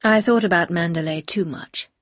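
A woman voicing a bitter, anguished upper-class husband in an audiobook, speaking gravely and confessionally. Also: lowish voice, moderate pace, no echo, clean studio sound, voice pushed down, close to an everyday voice. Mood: grave confession. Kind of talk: audiobook character dialogue.

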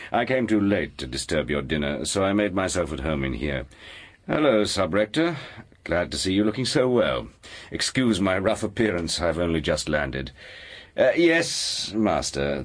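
Audiobook drama: domineering nobleman, very deep register, clipped, coolly imperious. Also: sing-song, a little slow, heavily acted. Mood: courteous, controlled. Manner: curt, imperious, clipped commands. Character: commanding aristocratic uncle, curt and coolly domineering.

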